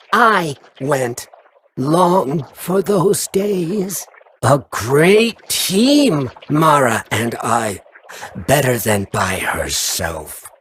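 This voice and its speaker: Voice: mid-range voice, slight background noise. An actor voicing a sly, needy worm parasite in a video game, theatrical and grovelling, speaking in clipped broken sentences.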